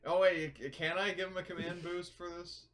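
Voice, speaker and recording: A man talking casually and haltingly as a player in a tabletop game. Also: high voice, fairly even intonation, crowd noise. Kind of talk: casual, hesitant game chat.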